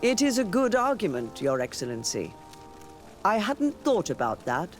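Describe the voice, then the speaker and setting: A wary, skeptical church dignitary, speaks in a high voice with wide swings of pitch. The delivery is formal and deliberate game drama. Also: male voice, huge pitch swings, soft background music. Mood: surprised, reflective. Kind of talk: video game drama acting.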